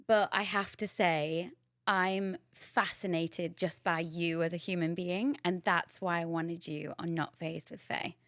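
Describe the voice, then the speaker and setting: A woman's voice: lowish voice, fairly even intonation, a little slow, fairly bright tone, no room sound, studio quality. A woman hosting a podcast, reading a scripted intro and then chatting casually, bubbly and effusive with frequent laughter. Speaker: podcast host.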